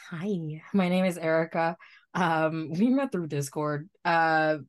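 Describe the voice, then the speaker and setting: A woman's voice: low-pitched, takes it slowly. A young woman from Canada, a podcast guest chatting casually with frequent ums and light laughs. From Canada, Ottawa.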